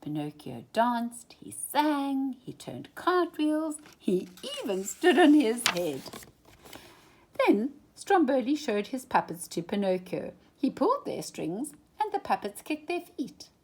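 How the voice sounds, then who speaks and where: Slow, animated woman reading a storybook. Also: on the high side. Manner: deliberate, dramatic emphasis. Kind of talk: expressive children's storybook reading.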